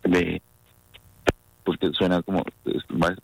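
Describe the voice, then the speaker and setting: A very deep, gravelly man answering a phone call. he sounds puzzled throughout, constantly asking the caller to repeat herself while trying to work out who she is. his replies are short and questioning. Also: flat delivery, takes it slowly, no echo. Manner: puzzled, often asks to repeat. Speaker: call recipient. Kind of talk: phone conversation.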